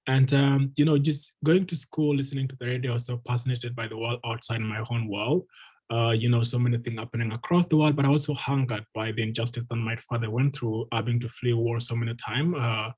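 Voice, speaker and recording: A young man in his twenties, from Ethiopia, telling his own story to an online meeting. His voice is a little husky and stays within a narrow pitch range, earnest and warm, full of ums and uhs. It sounds like a video call. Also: fairly even intonation, brisk. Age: 20s. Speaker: guest speaker, refugee advocate.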